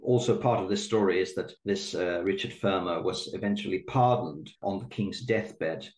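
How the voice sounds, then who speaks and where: A man's voice: low voice, moderate pace, a little mellow, echoey room. A man from Sweden, a native Swedish speaker, answering interview questions in a thoughtful way with many fillers and restarts. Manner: thoughtful, hesitant with fillers and repeated words. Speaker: guest historian.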